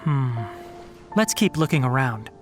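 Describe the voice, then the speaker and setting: A thoughtful young man pieces things together aloud, his pitch rising and falling in a lively way, as an actor plays him. Faint music plays behind him in the game. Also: a little slow, lightly acted. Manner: thoughtful, investigative. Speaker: game character.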